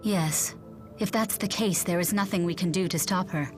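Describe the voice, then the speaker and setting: Gentle, formal female spirit played by an actress. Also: lowish voice, over music, close to an everyday voice. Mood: concerned. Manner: polite, measured, formal. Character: gentle, courteous, composed female elemental spirit. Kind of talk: video game voice acting.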